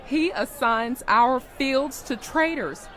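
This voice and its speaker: A woman's voice: high-pitched, takes it slowly, fairly bright tone, a little hiss or hum. A woman voicing a lamenting, mocking mourner in a dramatic scripture reading.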